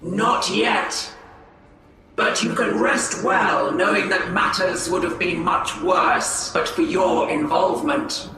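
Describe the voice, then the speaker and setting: A man's voice: sits high, steady tone, takes it slowly, bright, forceful tone, heavy reverb, distant mic, a little hiss or hum, exaggerated voice, low-quality recording. An actor playing a mysterious, sly male seer in a game cutscene, speaking in a mocking, cryptic way. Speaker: game character.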